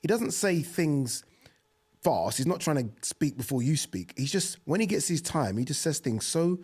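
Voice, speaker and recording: Husky guy in his 30s chatting boxing on a podcast, lively, studio mic. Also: a little high, normal speed. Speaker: podcast host. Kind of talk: casual boxing chat. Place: studio set with dark curtains. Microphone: desk mic on boom arm.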